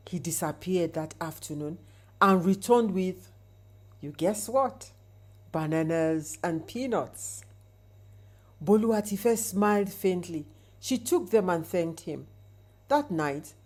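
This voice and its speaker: Slow, mellow woman telling a dramatic folktale. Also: on the low side. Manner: suspenseful, with rhetorical asides. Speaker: storyteller. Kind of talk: dramatic scripted folktale narration.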